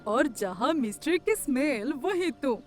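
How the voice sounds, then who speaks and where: Teasing, wide-eyed cartoon girlfriend played by a woman, high-pitched, slow-paced and exclaiming. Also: animated, faint music behind. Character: teasing, easily amazed young girl friend. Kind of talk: Hindi cartoon story voice acting.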